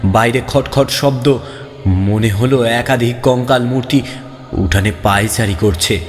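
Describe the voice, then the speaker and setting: A man's voice: mid-range voice, a little slow. Man narrating suspensefully in long reverb. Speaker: audio story narrator. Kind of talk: dramatic scripted narration of a ghost story. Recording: long reverb, background music. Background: music.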